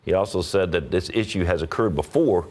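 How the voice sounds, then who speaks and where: A man with a low voice anchors a morning TV newscast. He speaks with an American accent and reads scripted stories in a newsreader's style. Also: a little fast, darkish tone. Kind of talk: scripted TV news reading.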